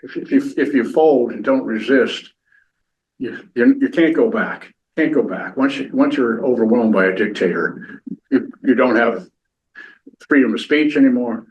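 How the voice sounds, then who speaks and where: An older man answers audience questions at a talk. He is earnest and plain-spoken, often restarting his sentences, and has a middle-pitched voice. The recording sounds a little dull and lo-fi. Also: normal speed, a little mellow. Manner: earnest, repeats words as he starts sentences. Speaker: retired navy captain giving a talk.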